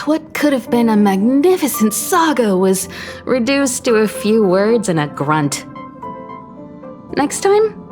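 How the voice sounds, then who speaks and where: A woman voicing an elegant adult traveler in a video game, wistful and warmly teasing, poised yet playful. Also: medium pitch. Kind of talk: English video game voice acting.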